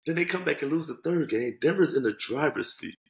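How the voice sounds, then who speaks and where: A man in his thirties co-hosts a sports podcast on a headset video call. He talks in an animated, joking way and swears freely. His voice rings with the echo of the room at home. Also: a little high, brisk, creaky. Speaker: podcast co-host. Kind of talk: casual sports chat.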